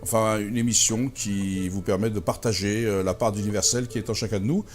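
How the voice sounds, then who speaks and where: A man's voice: fairly low pitch, steady tone, brisk. A man hosting a talk show, interviewing his guest in a conversational way and readily chiming in with his own points.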